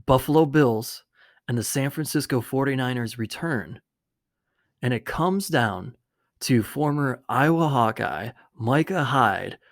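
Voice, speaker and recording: A man's voice: medium pitch, unhurried. A man hosting a talk show, interviewing casually and presenting to his viewers.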